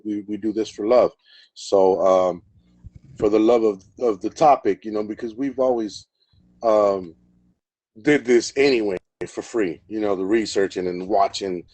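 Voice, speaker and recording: A man's voice: on the low side, dark tone, dry recording. Rambling man hosting a live stream. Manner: rambling, many fillers and repeats. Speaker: host. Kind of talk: casual live stream chat.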